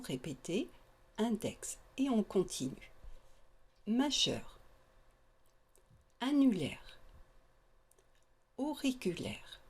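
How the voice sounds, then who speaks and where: A woman with a soft, breathy voice teaches yoga nidra, guiding a relaxation meditation in short, soothing phrases with long pauses. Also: mid-range voice.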